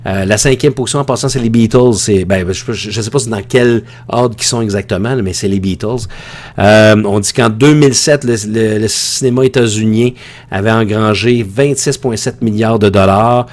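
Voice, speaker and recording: A man's voice: lowish voice, normal speed. A man with a Quebec French accent teaching an informal online lecture in a conversational, opinionated way that often digresses. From Quebec, Canada. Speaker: high school teacher.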